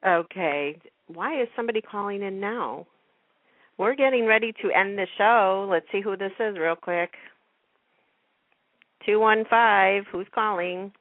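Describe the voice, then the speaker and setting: A woman's voice: medium pitch, measured pace, no room sound. A woman hosting a casual talk radio show, chatting informally. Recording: narrow phone-like internet radio call.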